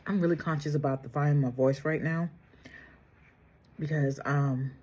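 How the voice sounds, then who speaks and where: A woman vlogger chatting casually through a makeup tutorial, soft-spoken and trying to project with a sore, strained throat. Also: low voice, steady tone, normal speed, slightly rough. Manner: chatty, addresses viewers directly, trails off and restarts. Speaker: beauty vlogger.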